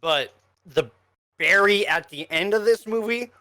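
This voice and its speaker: A man co-hosting a casual film review, explaining things in a halting, conversational way. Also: high voice, lively intonation, bright, forceful tone, dry recording. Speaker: podcast co-host reviewer.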